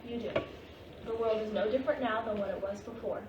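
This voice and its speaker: A woman playing the gentle, wise ghost of a Japanese grandmother in a staged play reading, patient and reassuring. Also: mid-range voice, dull audio, lightly acted. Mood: tender, reassuring. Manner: patient, reassuring, unhurried guiding. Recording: distant, noisy, echoey staged reading.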